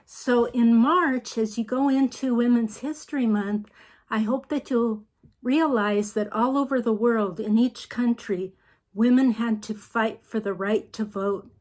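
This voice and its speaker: An older woman author speaking in an unhurried, storytelling manner in a casual video message. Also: fairly high pitch, a little mellow.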